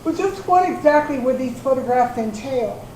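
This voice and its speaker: A woman's voice: high voice, expressive, moderate pace, a little mellow. A woman acting in a readers theater piece as a prim, inquiring lady with an exclaiming manner. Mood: prim inquiry. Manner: questioning, exclaiming. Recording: echoey hall from far off, faint noise.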